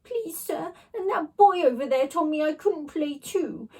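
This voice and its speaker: A woman's voice: high voice, lively intonation, mellow, voice pushed up. A woman voicing a sneaky schoolboy bully in a storybook, wailing and whining as he tells tales. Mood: whiny, pleading. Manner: wailing, drawn-out whine.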